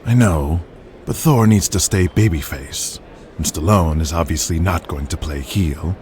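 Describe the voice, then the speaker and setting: A man playing a cool, calculating male wrestling boss in an audio drama, measured and deliberate. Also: low voice, darkish tone, slight background noise, pitched below the performer's own voice. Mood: firm.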